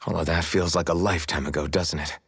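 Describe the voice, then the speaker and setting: Deep, husky, thoughtful swordsman. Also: steady tone, quick pace, no room sound, studio quality, exaggerated voice. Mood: pensive, nostalgic. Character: weary, thoughtful young swordsman hero, gentle and reflective. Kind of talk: video game cutscene voice acting.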